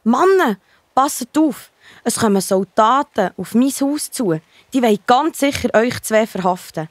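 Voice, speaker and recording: A woman's voice: fairly high pitch, expressive, a little slow, dry recording. A woman with a Swiss German accent playing a brave, cautious townswoman who helps fugitives in a children's Bible audio drama. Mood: urgent, pleading. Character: Rahab, brave, helpful townswoman sheltering spies.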